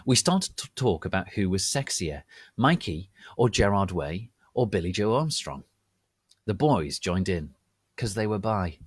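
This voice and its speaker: A man narrates fan fiction as a dramatic reading. His voice sits fairly low yet swings expressively, and he takes his time with theatrical deliberation. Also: big pitch swings, a little slow, slightly rough, no room sound, studio quality.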